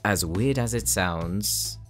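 A man playing a courteous, dry-witted elder brother, an ex-soldier turned barkeeper, in a fantasy audio drama, with measured, polite, wry delivery. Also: lowish voice. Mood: earnest. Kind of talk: anime-style fantasy audio roleplay acting. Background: background music.

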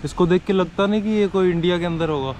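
A man's voice: sits high, fast talker, darkish tone. A young man walking outdoors and talking casually about his travels, recorded on an action camera held at arm's length. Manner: chatty and curious, asking locals questions. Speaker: travel vlogger. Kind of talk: casual travel vlog narration. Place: outdoors on streets and in a market. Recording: outdoor street noise behind.

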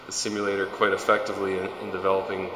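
A man's voice: deep voice, monotone, racing. A man in his thirties talking conversationally in an interview, with frequent restarts, filmed in a large indoor hall on a clip mic. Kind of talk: corporate video interview. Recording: echoey hall with faint hum.

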